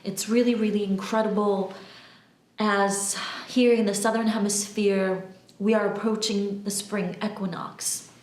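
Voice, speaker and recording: A woman from Albania gives a spiritual monologue to her followers, speaking emphatically and deliberately, with pauses and repeated words. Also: medium pitch, steady tone, darkish tone. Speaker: spiritual channeler and video host. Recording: roomy, echoing room sound.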